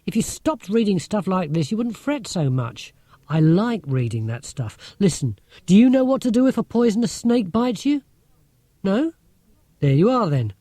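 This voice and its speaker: A man's voice: sits high, sing-song, normal speed, dark tone, no echo, pitched above the performer's own voice. A man voicing a brainy, blunt schoolgirl in an audiobook, matter-of-fact and sceptical. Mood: brisk, challenging.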